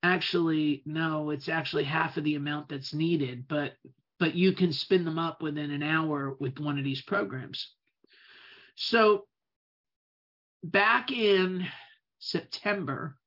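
A speaker whose first language is Italian, giving a casual talk on running a web business, stretching out key words for emphasis and often restarting phrases. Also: mid-range voice. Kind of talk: casual presentation. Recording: dull lo-fi recording.

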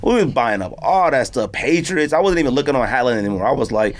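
An American man hosting a podcast in casual chat, telling stories in a slangy, animated way. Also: mid-range voice, fast. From United States. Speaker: podcast host. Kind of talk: casual chat about sneakers and hats. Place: podcast studio.

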